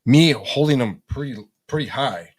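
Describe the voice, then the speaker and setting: A man talking casually as a podcast guest, slangy, with halting, informal phrasing. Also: mid-range voice, lively intonation, husky, no echo. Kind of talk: casual chat.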